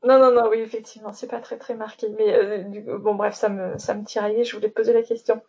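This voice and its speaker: A woman's voice: medium pitch, little pitch movement, quick pace, darkish tone, breathy edge. A woman hosting a podcast interview in a casual, chatty way, giggling often and filling gaps with hesitations. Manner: chatty, giggly, many fillers. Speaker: podcast host. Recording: dull lo-fi sound.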